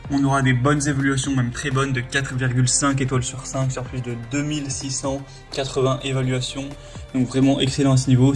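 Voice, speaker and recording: A man's voice: medium pitch, darkish tone. A man presenting a product review in a casual, explanatory, conversational way.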